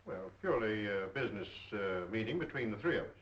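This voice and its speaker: A man's voice: on the low side, big pitch swings, fast talker. An actor playing a formal businessman answering police questions in a 1930s film. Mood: formal, guarded. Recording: dull, echoey 1930s film soundtrack.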